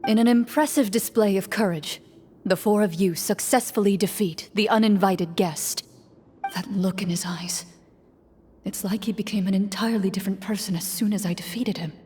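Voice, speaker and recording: Game role: woman playing a composed female game master, medium pitch, formal dramatic narration, faint noise. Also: normal speed, darkish tone, close to an everyday voice. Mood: hushed, uneasy. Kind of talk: anime-style game voice acting.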